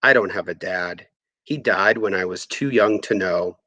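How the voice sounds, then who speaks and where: Low-voiced man reading a story aloud. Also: normal speed, sharp tone, no echo. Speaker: audiobook reader. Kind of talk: expressive first-person story narration.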